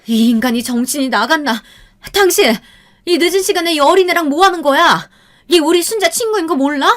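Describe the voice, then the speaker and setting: A woman voicing a furious middle-aged mother who shouts accusations at her husband. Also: high-pitched, lively intonation, a little fast, pitched above the performer's own voice.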